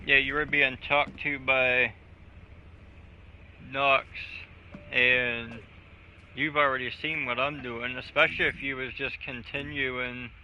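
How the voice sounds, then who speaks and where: A man's voice: mid-range voice, flat delivery, slow pace, pauses often, pushed and bright, slight background noise. A man streaming a video game, chatting casually in a blunt, joking and sweary way. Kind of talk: casual gaming chat. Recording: dull lo-fi stream audio, faint music.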